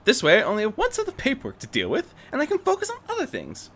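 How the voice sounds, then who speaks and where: A man voicing a poised, businesslike young female unicorn in a storybook reading, brisk and matter-of-fact. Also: extremely high pitch, wildly swooping pitch, fairly bright tone, voice pushed up, heavily acted. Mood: brisk exasperation.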